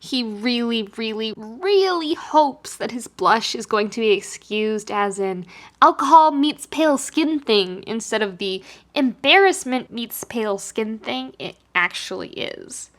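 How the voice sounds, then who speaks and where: A woman reading fiction aloud as a podfic narrator, in an expressive scripted storytelling style. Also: a little high, measured pace.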